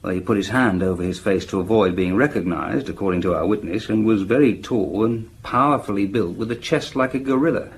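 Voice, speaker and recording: A man's voice: lowish voice, lightly acted. Actor as a matter-of-fact male police inspector. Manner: hesitant pauses, matter-of-fact reporting. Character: diligent, conversational police inspector briefing his chief. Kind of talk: radio drama acting. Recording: faint background noise.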